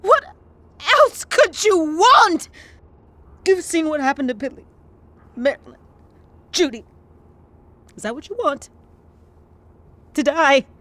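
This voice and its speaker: An anguished woman in a heated audio drama confrontation. Her voice is very high and forceful, with slow, emphatic pleading. The sound is close and dry. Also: expressive, no echo, full character voice. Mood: exasperated, pleading. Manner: emotional, profane, pleading. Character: anguished, exasperated woman in the 1970s confronting a famous lover.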